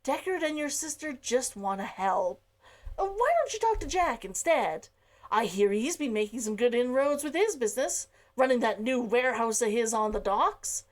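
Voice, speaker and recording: Woman reads soft-spoken earnest young man, persuasive, lilting high delivery. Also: normal speed. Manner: calm, reasoning delivery.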